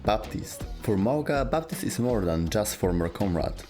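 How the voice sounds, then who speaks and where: A man narrates a scripted video game lore explainer. His voice sits fairly low, and there is quiet music underneath. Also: animated, normal speed.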